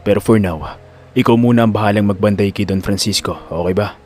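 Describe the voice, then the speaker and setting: A man playing a calm, serious male confidant in a Filipino audio drama, speaking in a measured, reassuring way. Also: fairly low pitch, a little hiss or hum, voice pushed down, close to an everyday voice. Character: Rocky, calm serious confidant guarding an old don.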